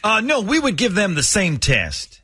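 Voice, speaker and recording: A man playing an earnest, clueless school planning official on a prank phone call, polite, hesitant and deadpan. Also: sits high, moderate pace, breathy edge, no room sound, faint music behind, full character voice. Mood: hesitant, hopeful. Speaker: radio show prank caller.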